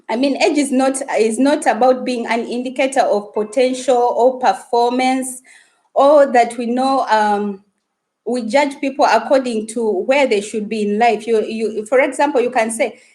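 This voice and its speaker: Woman, Luganda speaker, live-stream host, chatty and a touch high-pitched. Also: unhurried, darkish tone. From Uganda. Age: adult woman, married. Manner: chatty, greets and reads viewer comments aloud. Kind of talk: casual live-stream chat.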